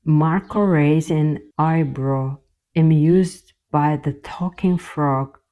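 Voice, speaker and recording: A woman narrating a scripted children's fairy tale as the storyteller, in a gentle, measured way. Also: low voice, lo-fi sound.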